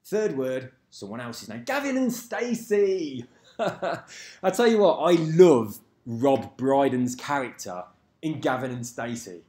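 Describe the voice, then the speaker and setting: A man's voice: medium pitch, big pitch swings, slow, a little mellow. A man rambling casually to camera, swearing freely and losing track of names. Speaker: vlogger. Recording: echoey room.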